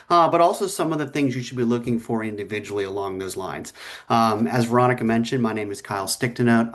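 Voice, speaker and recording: A man hosting a webinar on a video call, upbeat, with brief stumbles as he introduces the guests. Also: medium pitch. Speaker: webinar host.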